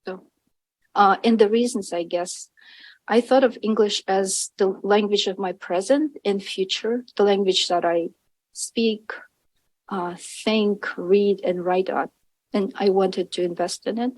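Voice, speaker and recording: A woman's voice: medium pitch, steady tone, darkish tone, dry recording. Kazakh-native woman translator, mid-range voice, reflective panel talk, close dry sound. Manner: thoughtful, pauses often with "uh" and "um". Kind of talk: personal account on a panel. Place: university symposium event.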